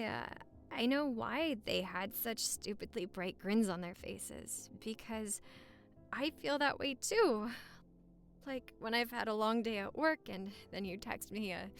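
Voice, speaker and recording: A woman's voice: on the high side, expressive, takes it slowly, vocal fry, fairly bright tone, soft background music, full character voice. A woman acting a playful, lovestruck newlywed wife in a romantic audio roleplay, teasing and flirting in intimate, easily flustered banter. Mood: tender.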